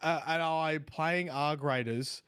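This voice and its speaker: Male podcast co-host, voice a bit higher than average, casual gaming banter. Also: steady tone, slow pace, fairly bright tone, clear, resonant tone.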